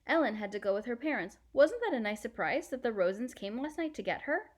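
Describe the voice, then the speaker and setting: A woman playing a gentle but firm mother in a storybook, speaking patiently. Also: on the high side, sing-song, brisk, pitched above the performer's own voice.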